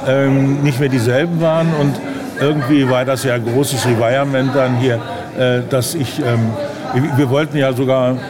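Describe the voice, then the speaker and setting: A man being interviewed in casual conversation, speaking haltingly as he searches for his words. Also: medium pitch, steady tone. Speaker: interview guest, audio drama director. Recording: busy crowd around the voice. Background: chatter of a crowd.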